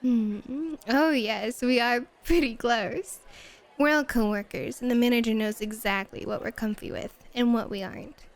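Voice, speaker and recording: A woman's voice: a little high, lightly acted. A woman playing a flirty, dominant and playful hostess at a bunny club in an ASMR roleplay, teasing and drawing out her words coquettishly. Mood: flirty, fond. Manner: teasing, coquettish, drawn-out purring.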